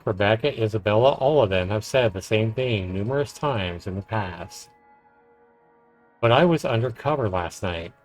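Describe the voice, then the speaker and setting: A male game streamer with a slightly low voice reads visual novel narration aloud. His delivery is measured, mixed with casual commentary, over soft game music.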